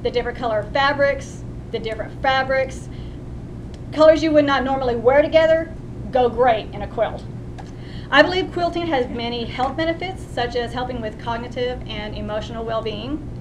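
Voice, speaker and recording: Older Texan woman, chatty class speech, higher voice, noisy rumbling home recording. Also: moderate pace. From West Texas. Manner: homey, chatty, with small restarts. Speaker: student giving a class speech. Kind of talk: personal narrative speech.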